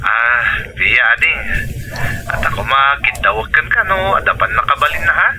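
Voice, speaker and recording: A man's voice: mid-range voice, expressive, normal speed, sharp tone, slight background noise, low-quality recording. A man playing a needy older brother coaxing his sister for money, in an Ilocano-accented radio drama style.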